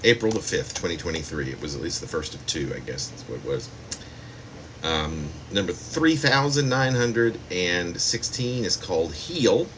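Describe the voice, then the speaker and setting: A man's voice: low-pitched, expressive, moderate pace, a bit bright, a little hiss or hum. A man reading his own poem aloud as a poet, in a spoken poetry-reading style.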